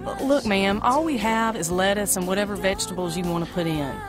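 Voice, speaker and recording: A woman's voice: mid-range voice, brisk, close to an everyday voice. A woman playing a plain-spoken, folksy diner waitress in her thirties in an amateur audio play. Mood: weary, brusque. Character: weary mid-thirties female diner waitress, brusque, turning starstruck fangirl. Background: music.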